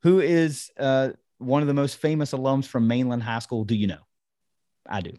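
A man's voice: medium pitch, moderate pace, warm tone, dry recording, studio quality. A man hosting a sports podcast, casually breaking down football in an enthusiastic, conversational way. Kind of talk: casual football analysis chat.

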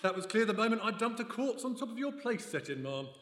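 Man acting a high-voiced, deadpan English valet. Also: fast. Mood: wry, deadpan. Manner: deadpan, wry. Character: dry, long-suffering male valet in 1920s England. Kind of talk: radio play acting. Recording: echoey noisy hall, live stage recording.

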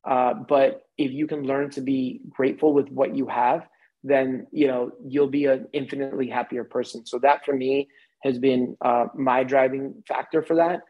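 A man's voice: mid-range voice, little pitch movement, moderate pace, dull audio. An American man in his forties, a guest in a casual podcast conversation, rambling with many fillers and restarts. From United States. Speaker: interview guest.

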